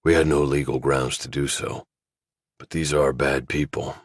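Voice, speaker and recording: A man voicing a stern retired general who runs a covert office, speaking in a commanding, deliberate way as audiobook dialogue. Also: very deep voice, steady tone, no echo, studio quality. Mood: grave, businesslike.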